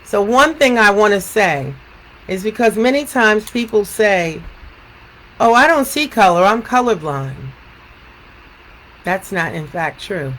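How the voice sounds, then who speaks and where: Deliberate woman reading aloud, some background noise. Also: mid-range voice, a bit bright, poor audio. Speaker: educator reading a picture book aloud. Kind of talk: read-aloud storytime, then reflective talk.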